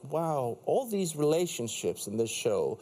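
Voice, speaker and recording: A man's voice: a little high, big pitch swings, mellow. A man whose first language is Danish, an actor guest on a talk show, chatting casually with frequent restarts. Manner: rambling, halting restarts. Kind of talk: casual chat.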